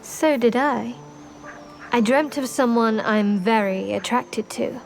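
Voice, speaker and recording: Higher-voiced, guarded fantasy adventurer. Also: female voice, slow, vocal fry. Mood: confiding, guarded. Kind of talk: video game dramatic acting. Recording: music behind the voice.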